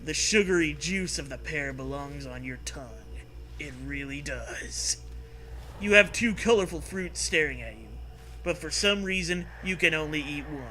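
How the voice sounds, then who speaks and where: A man's voice: a little high, lively intonation, moderate pace, bright, forceful tone, slight background noise, poor audio. A man co-hosting a comic read-aloud, playful and given to exaggerated emphasis between bits of banter. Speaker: co-host reading aloud.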